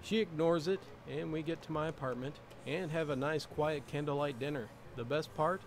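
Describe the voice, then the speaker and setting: A man's voice: a little high, moderate pace, a little hiss or hum. A man narrating online stories in a casual first-person style, in a video played with music underneath.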